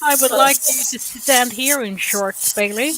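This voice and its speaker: A woman chatting casually, hesitant and often pausing to search for words. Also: sits high, fairly bright tone, soft background music, rough recording. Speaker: chat participant. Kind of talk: casual chat.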